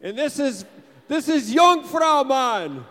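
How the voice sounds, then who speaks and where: A man leading a touring band who speaks to a church audience in short, energetic phrases, pausing for an interpreter. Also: very high voice, a little hiss or hum. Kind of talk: English speech with live interpreter. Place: church hall. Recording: reverberant hall.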